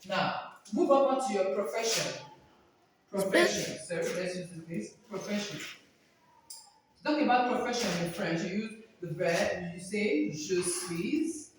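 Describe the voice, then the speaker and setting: Nigerian woman teaching slowly in an echoey room. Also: mid-range voice, animated, background noise. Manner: slow, repeating phrases for learners to copy. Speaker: French teacher. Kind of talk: classroom-style language lesson. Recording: very echoey room, speaker far from the mic.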